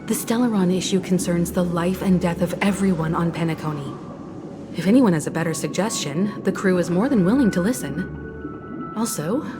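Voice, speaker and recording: An actress playing a composed young female crew navigator who argues calmly and politely, recorded as a game dub over a loud score. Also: lowish voice, natural voice. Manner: calm, reasoned, polite.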